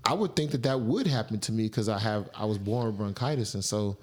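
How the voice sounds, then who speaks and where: A man's voice: on the low side, a little fast, a little mellow. Joking, teasing podcast co-host man. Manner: joking, teasing, profane banter. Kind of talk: casual chat.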